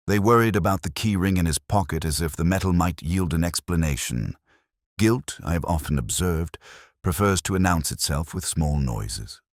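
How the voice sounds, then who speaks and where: A man reading a scripted first-person detective story as its narrator, in a measured, reflective, literary manner. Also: a bass voice, no echo, clean studio sound.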